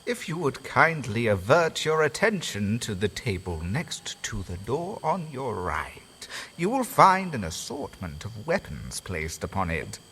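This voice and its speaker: An actor playing a theatrical, courtly host who runs a haunted asylum in an audio drama, speaking in a grand, sweeping manner. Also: male voice, lowish voice, wildly swooping pitch, measured pace, few pauses, a bit bright, a little hiss or hum, full character voice, rough recording. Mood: instructive. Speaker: asylum owner character. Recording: faint music underneath.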